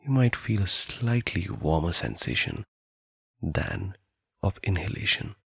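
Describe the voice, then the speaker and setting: Low husky guy doing guided meditation, soothing and deliberate, lots of long pauses, very dry close sound. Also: sing-song, no room sound.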